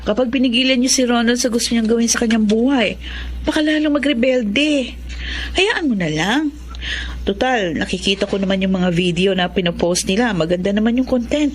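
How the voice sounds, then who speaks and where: A woman playing a supportive, sensible young older sister in a radio drama, calm and persuasive with a Filipino accent. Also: a little high, moderate pace, slight background noise, natural voice.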